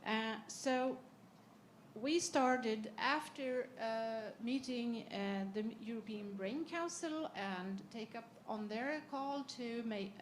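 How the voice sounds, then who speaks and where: Higher-voiced woman panelist, halting with uhs, light hiss behind. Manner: hesitant, many uhs and restarts. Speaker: foundation secretary general. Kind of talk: panel discussion talk. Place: conference session.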